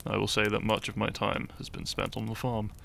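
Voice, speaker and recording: Casting: male actor as shy, earnest hero in fantasy audio drama, halting and theatrical, heavy vocal fry, faint background noise. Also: lowish voice, monotone, a bit bright. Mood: fond, conversational. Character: shy young hero, earnest, secretly fond of his foe. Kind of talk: roleplay audio drama, addressing the listener.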